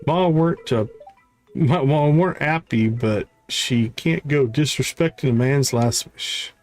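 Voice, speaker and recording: A man's voice: medium pitch, a little slow, darkish tone, no room sound, soft background music, natural voice. A man voicing a guarded sailor's son from a video game, talking in folksy, ungrammatical seafaring speech. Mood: defensive, confessional. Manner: folksy, dropped-grammar sailor talk. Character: gruff, guarded sailor son grieving his father. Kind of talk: reading video game dialogue aloud.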